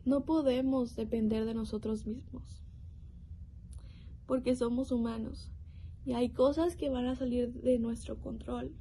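A young woman from Mexico, sharing an earnest, reflective personal faith testimony with pauses and restarts. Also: fairly high pitch, steady tone, mellow, a little hiss or hum, low-quality recording. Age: young adult. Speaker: youth program speaker.

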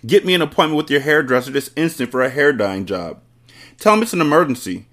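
Man doing a loud, blustering, panicky father. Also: extremely high pitch, wildly swooping pitch, moderate pace, vocal fry, slightly rough, voice pushed up, heavily acted. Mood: frantic, urgent. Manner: yelling, indignant, exclaiming. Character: blustering, vain, panicky middle-aged father and car salesman.

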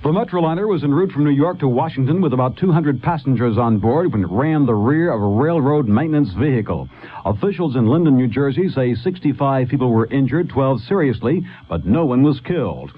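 A man's voice: medium pitch, animated, brisk, slightly rough. A man delivering a scripted news report as a radio correspondent. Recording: thin phone-like radio line.

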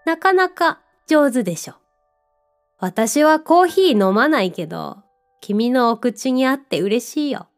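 A woman voicing a friendly, contented talking cat who runs a drink stall in an audio drama, speaking gently and politely with a playful touch. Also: high voice, sing-song, a little slow, soft background music, exaggerated voice. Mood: pleased, gentle. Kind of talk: Japanese audio drama acting.

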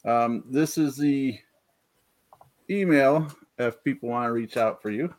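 A man at mid pitch hosts a casual live show over a video call. He stumbles and restarts, fills pauses with "uh", and reads out viewer comments as he goes. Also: a little mellow. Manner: halting, many fillers, reads chat comments. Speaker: podcast host. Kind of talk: casual livestream chat. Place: home, rural.

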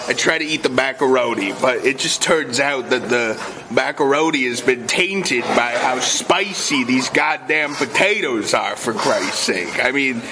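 A man playing an indignant Italian-American Bronx guy, telling his tale haltingly and repetitively in a radio comedy sketch. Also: medium pitch, noisy background, close to an everyday voice. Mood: exasperated.

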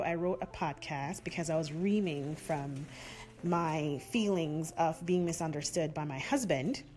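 A woman hosts her own podcast alone, speaking in a lower register than most women. Her delivery is earnest and heartfelt as she reflects and affirms her beliefs. Faint music sits underneath her voice. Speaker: podcast host. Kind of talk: solo reflective podcast talk.

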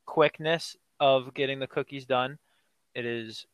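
A teenage male podcast guest who answers with dry, deadpan jokes in a casual interview. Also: medium pitch, unhurried, clear, resonant tone, no echo. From United States. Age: teens.

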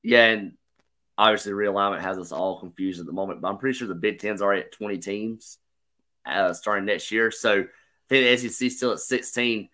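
A man co-hosting a sports podcast, slightly hoarse, talking through analysis in a measured way. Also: fairly low pitch, sharp tone. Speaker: podcast co-host. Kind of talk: casual sports chat.

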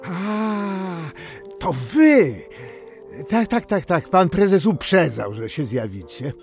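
Actor as an affable, slow-talking, higher-voiced pharmacist landlord. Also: male voice, very animated, a little mellow, soft background music, heavily acted. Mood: welcoming. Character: affable, money-minded small-town pharmacist and landlord, father. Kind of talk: radio drama acting.

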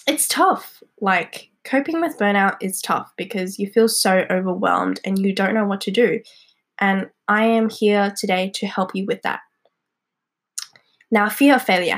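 Young aussie woman hosting her podcast, hoarse stuffy voice, chatty and upbeat with lots of ums and likes. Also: mid-range voice. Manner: chatty and encouraging, with fillers like "um" and "like". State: hoarse, congested from a cold. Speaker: podcast host. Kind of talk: casual solo podcast talk.

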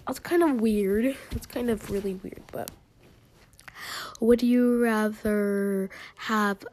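A teenage girl vlogger with a high-pitched voice chats casually, reads questions aloud and answers them herself, and often drops into soft near-whispered asides. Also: slow.

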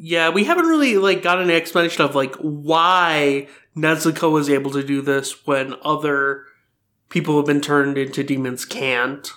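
A man's voice: on the high side, moderate pace, pushed and bright. A man in a casual fan discussion as a podcast co-host, reasoning things out in an explanatory way.

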